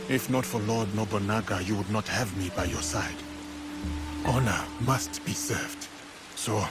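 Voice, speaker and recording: An actor playing an honorable, composed samurai warrior in a video game, speaking formally and earnestly. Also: male voice, fairly low pitch, lightly acted. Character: steadfast, honorable male samurai warrior, calm and loyal.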